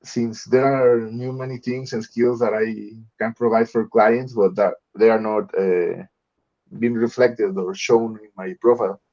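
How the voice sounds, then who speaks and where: A man's voice: lowish voice, little pitch movement, a little slow, a little mellow. A man giving an informal update to a coaching group as a freelancer on an online call. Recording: slightly dull video call audio.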